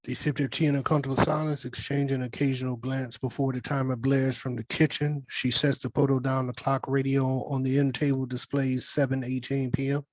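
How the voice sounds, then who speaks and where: A man narrates stage directions in a flat, even voice of medium pitch. The sound is dry and close, and the delivery is that of a scripted table read. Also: brisk, few pauses, no room sound, poor audio.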